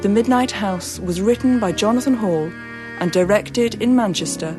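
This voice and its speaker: A woman announcer reading the closing credits in a measured, formal way. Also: medium pitch. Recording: music under the voice.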